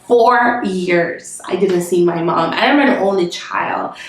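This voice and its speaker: A woman's voice: fairly low pitch, slow pace, distant mic. A Jamaican woman vlogger with a nasal voice from a cold, telling a candid life update with lots of fillers and sighs. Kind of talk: casual life-update vlog. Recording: very echoey room, away from the mic, soft music behind.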